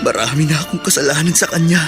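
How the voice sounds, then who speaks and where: A man's voice: on the high side, fast talker, music in the background, lightly acted. An actor with a Filipino accent playing a remorseful young male prisoner, earnest and respectful, in a radio drama. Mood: remorseful, resolved.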